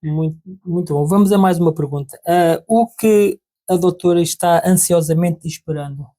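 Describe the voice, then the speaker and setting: Low, dark-voiced woman host. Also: moderate pace. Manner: brief affirmations. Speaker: podcast host. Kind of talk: interviewer.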